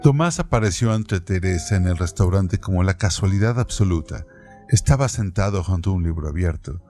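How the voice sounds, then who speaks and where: Male narrator, very low and gravelly, calm literary reading over soft music. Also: a little fast. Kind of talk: scripted audiobook narration.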